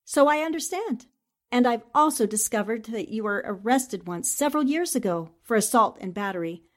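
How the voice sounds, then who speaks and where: A woman's voice: a little high, animated, normal speed, close to an everyday voice. A woman playing a determined, shrewd newspaperwoman sleuth, questioning formally in a 1940s-style radio drama. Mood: probing.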